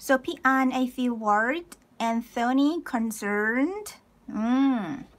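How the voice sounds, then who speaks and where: A woman in her thirties teaching a live online language lesson from a small room, cheerful and laughing often as she reads out viewers' comments and repeats words slowly. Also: a little high, expressive, a little hiss or hum. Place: small room indoors, plain wall.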